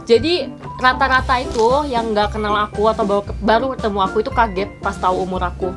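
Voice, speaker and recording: A woman's voice: a little high, steady tone, fast. A 21-year-old woman from Indonesia chatting casually in a Q&A vlog, mixing English phrases into her talk. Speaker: vlogger. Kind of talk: casual Q&A chat while snacking. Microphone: home microphone. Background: music.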